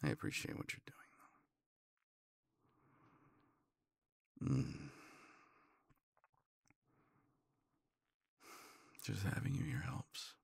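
A man playing a tender, teasing older boyfriend in an intimate romance ASMR roleplay, murmuring in a halting, playful way. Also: rumbling low, expressive, vocal fry, breathy edge, no room sound, heavily acted. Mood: tender, vulnerable. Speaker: audio roleplay voice actor.

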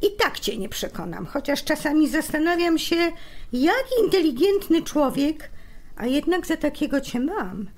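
A woman's voice: sits high, big pitch swings, normal speed. A woman playing a grumpy convalescing wife with dry wit in a naturalistic radio drama, sarcastic and bickering. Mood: weary, exasperated.

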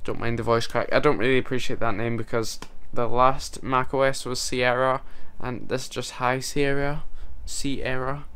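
A man's voice: mid-range voice, level tone, moderate pace, few pauses, a bit bright, smooth. A young man, chatting casually to camera from his bedroom, rambling and poking fun at himself. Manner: chatty, rambling, self-mocking with small laughs. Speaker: vlogger. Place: bedroom, indoors. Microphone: camera mic at close range.

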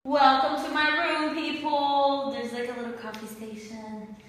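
A woman's voice: sits high, level tone, a little slow, a bit bright, far from the mic. A young woman showing off her room, giggly and enthusiastic, filmed on a phone. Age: young adult. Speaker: co-host of the tour. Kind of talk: casual selfie vlog. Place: rooms of a hillside island house. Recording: very echoey rooms with faint noise.

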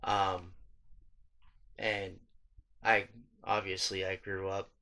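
A man's voice: deep voice, little pitch movement, drawn-out words, sharp tone. A man in his thirties vlogging late at night in a small room, rambling with many ums and restarts and casual swearing. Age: 30s. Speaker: YouTuber. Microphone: webcam or handheld phone at close range.

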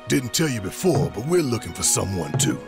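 Gravelly, swinging-voiced brash rebel fighter. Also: male voice, lowish voice, big pitch swings, fairly quick, loud music behind the voice. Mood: earnest. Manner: brash, emphatic, folksy. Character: big gruff brash rebel fighter man, warm at heart. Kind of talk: dramatic video game acting.

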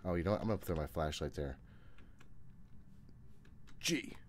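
Deep-voiced nervous man gaming. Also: lively intonation, moderate pace. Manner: nervous, jokey, profane, self-narrating. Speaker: gaming streamer. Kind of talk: casual playthrough commentary of a horror game. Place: small room at home, indoors. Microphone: desk condenser mic close by, headphones on.

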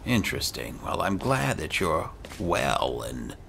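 A man with a deep, gravelly voice narrates his role-playing game in character. He is measured and theatrical, and his pitch moves with lively swings. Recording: faint background noise.

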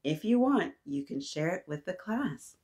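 A woman's voice: low voice, big pitch swings, unhurried, a little mellow. A woman voicing a kind, welcoming female school counselor in a storybook, with an encouraging, teacherly manner.

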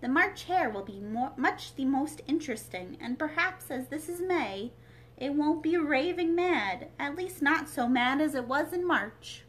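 A woman's voice: high-pitched, animated, measured pace, fairly bright tone, pitched above the performer's own voice, poor audio. Woman putting on a curious, earnest young storybook girl. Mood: thoughtful. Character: curious, polite, questioning little girl.

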